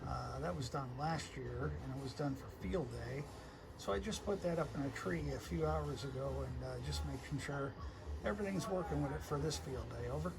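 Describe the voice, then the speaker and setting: A man from Connecticut talking casually as an amateur radio operator, halting often in mid-sentence as he goes. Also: fairly high pitch, creaky, noisy background. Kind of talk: casual ham radio chat.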